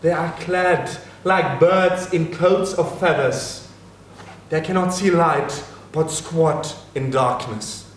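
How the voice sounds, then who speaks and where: A man playing a dead man who slowly recounts the underworld in an ominous recital. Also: on the high side. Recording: echoey hall from a distance, faint noise.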